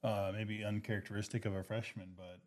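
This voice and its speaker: A man's voice: on the low side, level tone, fairly quick, darkish tone. An American man co-hosting a podcast in a casual, agreeable conversational style. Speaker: podcast co-host and financial advisor. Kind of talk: casual chat.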